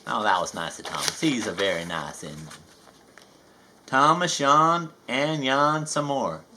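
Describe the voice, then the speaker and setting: A man's voice: mid-range voice, unhurried, background noise. A man reading a children's storybook aloud, folksy and playful, with chatty asides. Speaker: storybook reader.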